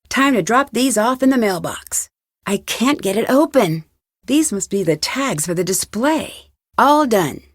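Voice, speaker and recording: A woman playing a curious, determined young amateur detective in an adventure game, thinking aloud in clearly enunciated remarks. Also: mid-range voice, dry recording, clean studio sound.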